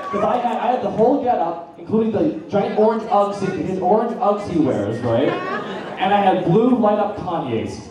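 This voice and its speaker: A boisterous, jokey man chatting excitedly as a panelist at a convention. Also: high-pitched, moderate pace. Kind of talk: joking panel chat. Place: large hotel convention panel room. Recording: very echoey hall, far off, noisy crowd. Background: loud crowd and room noise.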